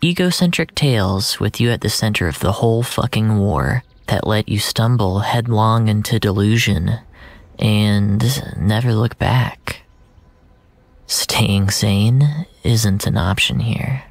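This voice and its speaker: A man narrating first-person fiction in a deliberate, brooding, dramatic way, drawing out his phrases. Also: lowish voice, dry recording. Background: music.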